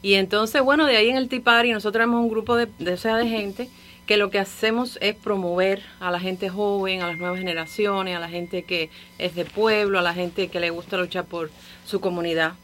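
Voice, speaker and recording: A woman from Cuba with a Cuban Spanish accent, a guest on a radio show who speaks in a thoughtful, rambling way with soft laughs. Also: mid-range voice, fairly even intonation, moderate pace, slight background noise. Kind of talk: casual radio conversation.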